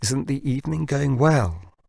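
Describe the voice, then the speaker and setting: A man reading an audiobook as a fond, bossy woman friend who coaxes and gently scolds. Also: medium pitch, animated, unhurried, gravelly, dry recording, pitched above the performer's own voice. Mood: warm, nervous. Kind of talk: audiobook character dialogue.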